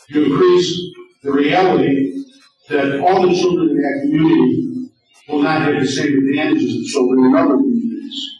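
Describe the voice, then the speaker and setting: A man's voice: a little high, a little mellow. A middle-aged man, a lawyer, giving an earnest, urgent speech from a podium, telling stories and repeating key points for emphasis. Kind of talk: speech to an audience. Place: large hall, on stage at a podium. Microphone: podium microphone on a stand. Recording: echoey hall, distant, slightly dull.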